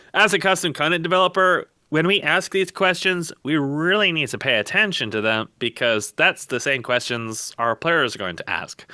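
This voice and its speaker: Blunt man with a very bright, forceful voice. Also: mid-range voice, no room sound. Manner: blunt, emphatic, rhetorical self-questioning. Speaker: podcast guest and game content developer. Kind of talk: opinionated podcast discussion. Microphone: voice call microphone.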